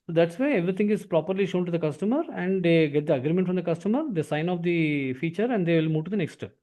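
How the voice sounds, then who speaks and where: A man with an Indian accent explaining as a software trainer in an online demo class, with repetitive phrasing. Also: a little high, fast talker. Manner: repetitive phrasing, often adds "actually".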